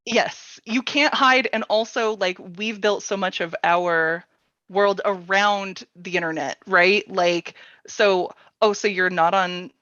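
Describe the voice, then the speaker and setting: A woman hosts in a bright, forward voice with very little room sound. She chats casually, feeling her way through phrases in an informal, giggly manner. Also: medium pitch, slow pace, dry recording, lo-fi sound. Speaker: workshop host. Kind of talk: casual chat.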